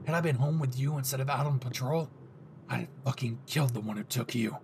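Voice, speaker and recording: A man's voice: mid-range voice, steady tone, a little fast, noisy background, lightly acted. A man playing a brash, possessive young hero, cocky, swearing and intense, in a dramatic audio roleplay spoken to the listener. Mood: bitter, intense. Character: brash, possessive young male hero, gruff and foul-mouthed.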